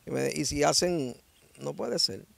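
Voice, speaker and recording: Gravelly 60-year-old man with a Puerto Rican accent. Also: a little high, measured pace, dry recording. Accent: Puerto Rican Spanish. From Dominican Republic. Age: 60s. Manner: lively storyteller who acts out quoted voices. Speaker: guest. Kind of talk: animated testimony. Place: outdoors under a tree in a grassy field. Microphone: handheld mic held by the interviewer.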